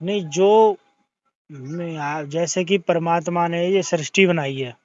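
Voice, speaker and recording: A man's voice: fairly high pitch, takes it slowly, a little mellow. A man in a religious debate on a phone call, arguing through questions and recited scripture. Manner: argumentative, questioning, quoting scripture from memory. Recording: dull lo-fi call audio.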